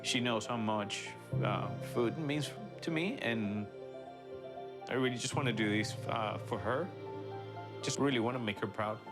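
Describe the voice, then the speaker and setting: A man's voice: mid-range voice, unhurried. A young man with a Venezuelan accent, a cooking contestant speaking earnestly with fillers about his dish and his grandmother. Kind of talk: casual interview and kitchen chat. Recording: music playing underneath.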